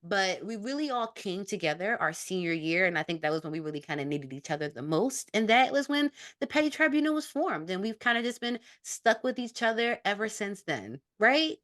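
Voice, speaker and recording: A young woman hosting a podcast in casual chat with friends, with a bubbly, excitable manner. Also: mid-range voice, brisk, hardly any pauses. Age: young adult. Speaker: podcast host.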